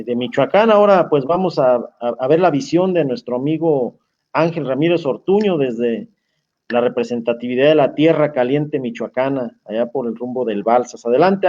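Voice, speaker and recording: A man moderating a virtual panel, handing over to speakers in a relaxed way with small pauses. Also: medium pitch, highs cut off. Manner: easygoing, introduces speakers with filler pauses. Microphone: video call.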